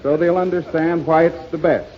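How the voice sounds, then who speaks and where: An American man working as a radio announcer, delivering a formal, emphatic 1930s sponsor pitch. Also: fairly even intonation, normal speed. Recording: lo-fi 1930s radio, faint noise.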